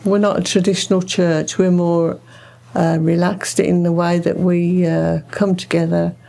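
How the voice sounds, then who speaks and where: Woman, middle-aged or older, British. Low and level, conversational interviewee. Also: flat delivery, unhurried, vocal fry, mellow. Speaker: food bank co-founder, interviewee.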